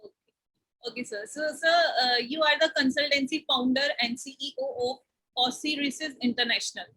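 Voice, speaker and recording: A woman's voice: high voice, steady tone, moderate pace, sharp tone, slightly rough, low-quality recording. A woman hosting an interview, asking formal questions politely and hesitantly. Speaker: interview host.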